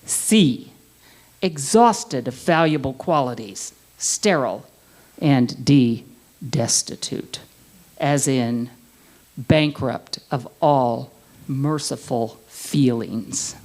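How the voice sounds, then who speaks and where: A woman giving a theatrical scripture reading with emphatic, deliberate phrasing. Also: a bass voice, a little mellow. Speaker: reader in a group dramatic reading.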